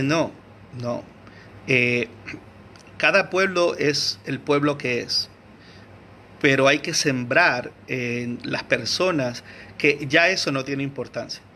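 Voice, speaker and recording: Bright-voiced man lecturing with many fillers. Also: medium pitch, a little hiss or hum. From Venezuela. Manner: hesitant, many fillers and restarts, searches for words; clears his throat often. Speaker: teacher. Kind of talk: unscripted history lecture.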